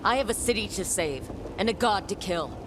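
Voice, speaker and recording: An actress playing a tough, bitter heroine with a blunt, firm delivery in a video game cutscene. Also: female voice, medium pitch, animated, normal speed, background noise, close to an everyday voice. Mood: bitter, angry. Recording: music behind the voice. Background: cinematic music.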